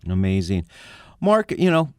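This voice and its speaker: A man hosts a talk radio interview in a lowish voice that rises and falls a lot. He asks his questions with animation, and the studio sound is dry and close. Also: huge pitch swings, unhurried, smooth, dry recording. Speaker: radio show co-host.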